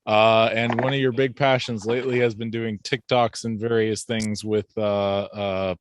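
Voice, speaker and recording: A man's voice: lowish voice, little pitch movement, moderate pace, a little hiss or hum. A man with an easygoing, rambling way of talking, chatting casually as he hosts an interview over a video call. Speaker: podcast host.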